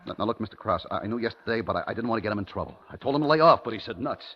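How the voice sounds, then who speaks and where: An actor playing an anxious, evasive market worker and guilty lover in 1950s radio drama, speaking in tense, terse hard-boiled style. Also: male voice, on the low side, animated, rapid-fire, breathy edge. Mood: nervous, lying. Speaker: protagonist and narrator. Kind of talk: 1950s radio drama acting, first-person noir narration. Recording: muffled 1950s radio recording, music behind.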